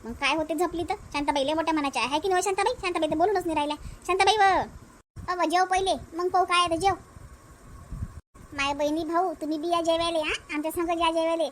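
A woman's voice: very high voice, fairly even intonation, quick pace, slight background noise. A woman voicing chatty, teasing village women in an amateur cartoon comedy, with a Varhadi Marathi accent.

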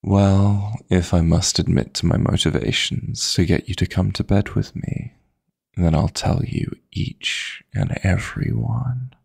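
Man voicing a very deep, gravelly demon lover. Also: fairly even intonation, darkish tone, exaggerated voice. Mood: teasing, flirty. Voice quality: husky, breathy, gravelly. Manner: slow, coaxing, formal endearments. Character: possessive, flirty, tender male dream demon lover. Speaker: audio roleplay performer. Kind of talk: intimate sleep-aid roleplay, ASMR.

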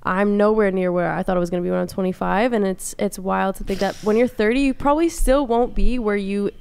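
Gravelly-edged young woman, encouraging co-host. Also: medium pitch, quick pace, darkish tone. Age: 20s. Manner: encouraging, says man and brother a lot. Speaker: podcast co-host. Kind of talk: casual chat. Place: dark podcast studio. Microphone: studio mic on boom, headphones.

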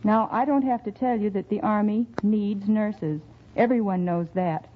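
A woman's voice: mid-range voice, moderate pace, phone-quality sound. A woman giving an earnest scripted public appeal on an old 1940s radio broadcast. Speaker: radio show performer making a public appeal.

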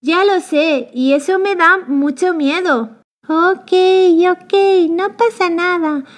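A squeaky little cartoon witch girl. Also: takes it slowly. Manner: bright, high and excitable. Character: cheerful little girl witch, clumsy and eager. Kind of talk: cartoon voice acting. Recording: faint music behind.

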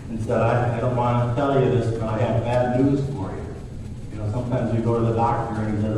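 A middle-aged man preaching a sermon in a church sanctuary, telling the story in a conversational way and voicing the characters he quotes. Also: medium pitch. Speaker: pastor. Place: church sanctuary, large room. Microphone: far camera at back of church. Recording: distant roomy church recording with hiss.

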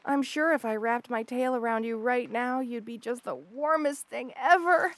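A woman's voice: on the high side, normal speed, fairly bright tone, slight background noise, lightly acted. A woman voicing an obsessive, lovestruck rattlesnake lamia in an intimate audio roleplay, gushing and hesitant with coy stammers. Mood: longing, tender. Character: obsessive lovestruck female rattlesnake lamia, shy yet unsettling.

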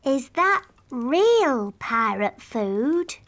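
High-pitched bossy little princess. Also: female voice, big pitch swings, drawn-out words, noisy background, full character voice. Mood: earnest. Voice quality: childlike. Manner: emphatic, dramatic little-kid delivery. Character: little princess, a bossy, excitable small girl.

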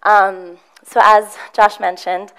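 A woman's voice: medium pitch, level tone, takes it slowly, clear, resonant tone, low-quality recording. A young woman from Switzerland giving an earnest, heartfelt motivational talk on a conference stage, full of ums and restarts. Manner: earnest, warm storytelling with frequent ums and restarts. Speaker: motivational speaker. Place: conference room with audience.

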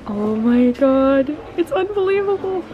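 Excitable young woman with swooping pitch. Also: unhurried, phone-quality sound, over music. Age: young adult. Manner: excitable, gushing, gasping exclamations. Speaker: vlogger. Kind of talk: casual shopping vlog. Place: indoors, bookstores and an empty room at home. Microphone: handheld camera at arm's length. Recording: noticeable background noise.

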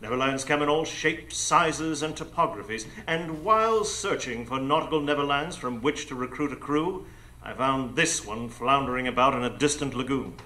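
An actor playing a grandiose, mocking pirate captain and criminal mastermind in a live radio drama, with theatrical, pompous delivery. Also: male voice, medium pitch, normal speed, pushed and bright, close to an everyday voice, poor audio. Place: improv theatre stage before a live audience.

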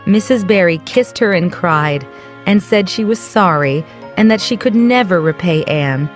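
A woman giving scripted narration for a children's story in a clear storytelling delivery. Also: fairly low pitch, animated, unhurried, soft background music.

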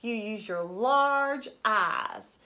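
A woman in her thirties reading a children's book aloud like a teacher, with expressive asides and questions to young listeners. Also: a little high, very slow. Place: indoors, living room at home.

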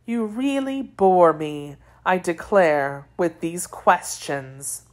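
Woman performing an imperious, secretive young lady, pitched up. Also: big pitch swings, measured pace. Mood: impatient. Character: secretive, imperious young Frenchwoman, anxious and guarded.

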